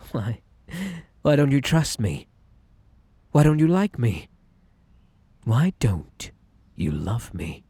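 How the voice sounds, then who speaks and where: A man with a British accent playing a possessive, obsessive, sweetly menacing bunny man in an ASMR roleplay, coaxing and theatrical, with a breathy voice that slips into whispers. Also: medium pitch, warm tone, no room sound, full character voice. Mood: wounded, desperate. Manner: theatrical, coaxing, drawn-out polite endearments.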